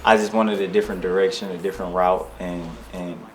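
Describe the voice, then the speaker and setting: Deep-voiced young man rambling casually. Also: a little fast. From Detroit, USA. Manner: relaxed, rambling, many restarts and slang. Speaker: rapper, interview guest. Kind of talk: casual interview.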